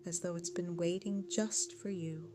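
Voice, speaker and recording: A woman narrating a guided meditation in a soothing, measured, hypnotic way, with phrases trailing off into a hush. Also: fairly low pitch, steady tone, noisy background. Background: music.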